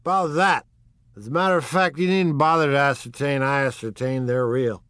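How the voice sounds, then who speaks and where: A stout, middle-aged drunk man, as a male narrator puts him on, blurting and rambling with giddy excitement. His voice jumps high and loud above the reader's own, swooping around as he talks. The sound is dry and close, with no room around it. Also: normal speed, clear, resonant tone, dry recording, lightly acted. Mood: excited.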